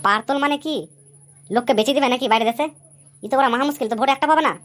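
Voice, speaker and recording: A cheeky young village lad acted by a woman, chattering quickly in a raised pitch. He is the cartoon sidekick, slangy and nagging, always after his share. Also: fairly bright tone. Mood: sceptical. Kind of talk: comic cartoon dialogue.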